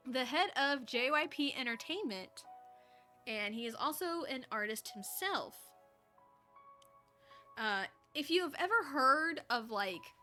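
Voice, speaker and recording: A woman's voice: high-pitched, pushed and bright. A woman streamer reading a story aloud in a playful, giggly way, with casual asides. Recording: music behind the voice.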